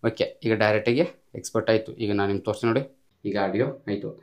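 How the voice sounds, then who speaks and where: A man's voice: lowish voice, racing. A man hosting a casual tech tutorial, giving step-by-step instructions, often repeated, with English tech terms mixed in. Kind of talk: casual screen-recorded app tutorial.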